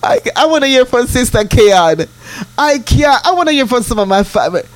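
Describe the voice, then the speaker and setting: A woman's voice: on the high side, big pitch swings, fairly quick. An older woman, born in London and raised in Jamaica, hosting a casual live stream and teasing her listeners playfully. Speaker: host of an online prayer stream.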